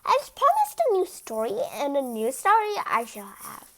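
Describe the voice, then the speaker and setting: A woman reads aloud as a vain, bossy king from a picture book. The voice is high and swings widely. He gives orders imperiously and has a short temper. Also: huge pitch swings, normal speed, fairly bright tone, exaggerated voice, low-quality recording. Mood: impatient. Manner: imperious, demanding. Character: pompous, bossy, easily angered vain storybook king.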